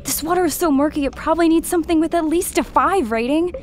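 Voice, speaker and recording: A woman's voice: sits high, quick pace, slight background noise, natural voice. A woman playing a blunt, sarcastic, squeamish member of an exploration crew in an audio drama. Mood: annoyed. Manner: sardonic, blunt.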